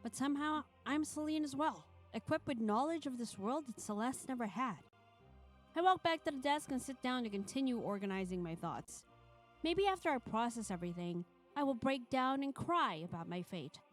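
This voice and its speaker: A woman with a fairly high voice hosts a livestream, chatting casually and reading visual novel narration aloud with animation. Game music plays behind her voice. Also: lively intonation, moderate pace. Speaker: streamer.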